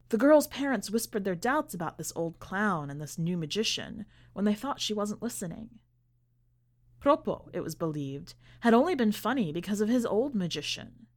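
A woman reads a literary short story aloud at a mid-range pitch. Her delivery is measured and deliberate, and she leaves dramatic pauses between phrases. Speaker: podcast host and story reader.

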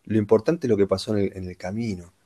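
A man's voice: fairly low pitch, steady tone, fast, mellow. A man with an Argentine Rioplatense accent, talking reflectively and philosophically in a casual conversation.

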